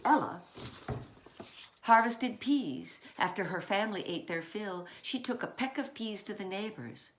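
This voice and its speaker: A woman who writes children's books, reading aloud and chatting in a storytime style, with deliberate, emphatic phrasing. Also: medium pitch, lively intonation. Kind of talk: storytime read-aloud with informal asides.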